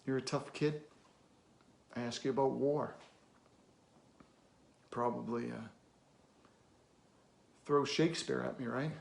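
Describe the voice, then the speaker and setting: A man performing a dramatic film monologue as a weary, blunt middle-aged therapist, deliberate, with weighty pauses and emphatic bursts. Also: mid-range voice, fairly even intonation, vocal fry. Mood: challenging. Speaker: actor.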